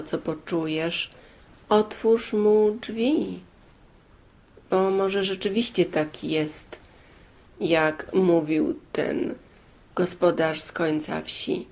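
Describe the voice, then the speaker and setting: A woman storyteller voicing a sensible village wife who gives calm, instructive advice. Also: on the low side, creaky.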